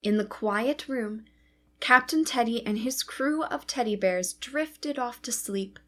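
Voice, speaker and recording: A woman narrating a children's storybook read-aloud as host, with expressive, deliberate phrasing for young listeners. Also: a little high. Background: faint music.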